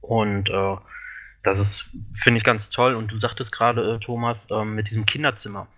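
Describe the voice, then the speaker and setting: A man's voice: on the low side, little pitch movement, moderate pace, pushed and bright. A man in a casual podcast discussion, rambling earnestly with many fillers.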